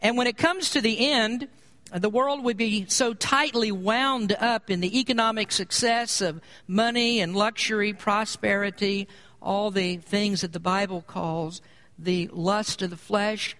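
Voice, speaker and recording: An American man preaches as a pastor in a noticeably high-pitched voice. He explains his points in an earnest, measured manner, in the style of an expository sermon. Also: pushed and bright.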